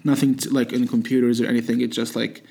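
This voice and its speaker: A young man from Egypt, a native Arabic speaker, talking casually in an interview, with lots of fillers, restarts and soft laughs. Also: mid-range voice, level tone, fast, vocal fry, husky. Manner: hesitant, many fillers and restarts, laughs softly. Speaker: interviewee, student.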